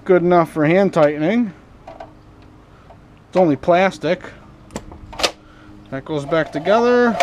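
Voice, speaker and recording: Man, fairly high voice, unhurried folksy rambling, talking to himself, faint background noise. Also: lively intonation, darkish tone. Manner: talks to himself in muttered asides; folksy, informal grammar. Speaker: host of hobby repair and scrapping videos. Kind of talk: casual rambling workbench narration while working.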